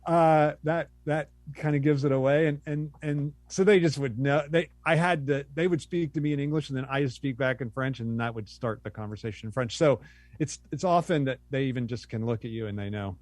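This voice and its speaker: A middle-aged man chats as a podcast guest, easygoing and self-deprecating, working his thoughts out as he goes. His pitch sits in the ordinary middle range for a man. The recording is dry, with no room sound. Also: a little fast, no room sound. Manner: relaxed, self-deprecating, thinking aloud. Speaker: guest academic. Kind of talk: casual interview chat.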